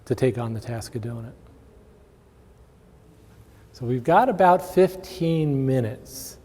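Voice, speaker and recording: A man gives an informal conference presentation in a relaxed, mellow voice. He speaks at a middling pitch, and the hall adds a long reverberant tail to his words. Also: slight background noise. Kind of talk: informal conference talk with audience questions. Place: large indoor conference room with long reverb.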